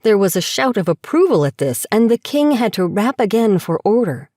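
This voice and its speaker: A woman's voice: medium pitch, big pitch swings, moderate pace, mellow, no room sound, clean studio sound. A woman reading scripted audiobook narration as the narrator of a story.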